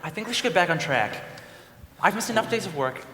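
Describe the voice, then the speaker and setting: A man's voice: sits high, lively intonation, fairly quick, pushed and bright. A man playing a weary, practical working father on a jury in a stage play. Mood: exasperated. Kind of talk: live stage play acting. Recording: noisy echoey hall stage recording.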